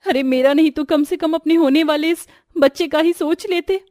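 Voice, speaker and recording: Woman as young wife, meek, earnest, pleading, cartoon, high-pitched, dry sound. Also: normal speed, dark tone, dry recording, natural voice. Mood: hurt, pleading. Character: meek, wronged young dark-skinned wife.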